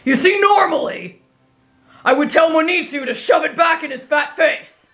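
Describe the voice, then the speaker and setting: A woman's voice: sits high, lively intonation, normal speed, a bit bright, faint music behind. A woman voicing a brash, headstrong teenage boy who talks in a blunt, boastful way, in an anime fan roleplay. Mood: loud, self-important.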